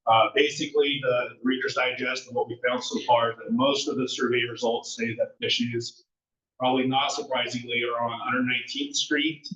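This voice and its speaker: A man's voice: medium pitch, fairly bright tone. A man giving announcements at a neighborhood meeting, unscripted and full of fillers. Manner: unscripted, many fillers and restarts. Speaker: neighborhood association officer. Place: large echoey school meeting room. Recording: echoey, distant, muffled room audio.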